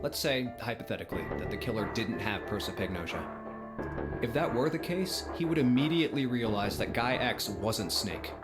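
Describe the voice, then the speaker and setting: A man's voice: lowish voice, expressive, normal speed, natural voice. An actor playing a determined young man who reasons out an accusation step by step in a visual novel game, with music behind him. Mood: pressing, accusing. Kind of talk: dramatic visual novel dialogue acting. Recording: game audio under prominent music.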